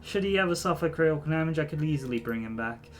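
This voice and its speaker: A weary, proud middle-aged drone engineer, read aloud by a man in a somewhat high voice. He speaks formally and a little haltingly, every sentence measured. Soft game music plays faintly behind him. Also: expressive, natural voice. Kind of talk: reading game dialogue aloud.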